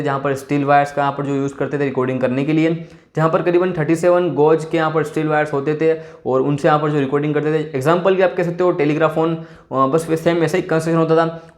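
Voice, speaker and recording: A man with an Indian accent hosting an informal tech explainer, leaning on repeated verbal tags and mixing in English technical words. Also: a little high. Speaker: tech explainer video host. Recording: roomy, echoey indoor sound.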